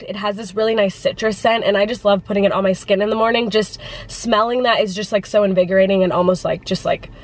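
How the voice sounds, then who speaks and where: Congested female vlogger, chatty and filler-heavy, mid pitch. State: congested from the flu. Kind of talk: casual vlog chat.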